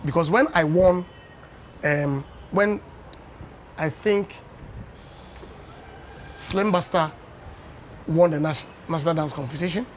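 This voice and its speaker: A Ghanaian man in his fifties, a former dance champion, tells unhurried stories as a guest on a breakfast TV interview, often adding "you know.". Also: fairly high pitch, slight background noise. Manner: anecdotal storyteller, unhurried with many "you know"s. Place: television studio.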